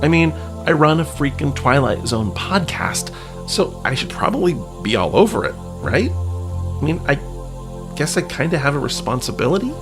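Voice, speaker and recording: A man's voice: on the high side, very animated, moderate pace, loud music behind the voice. A man hosting a podcast in a casual, wry, self-deprecating and informal way. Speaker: podcast host. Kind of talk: casual podcast talk with read-out news items.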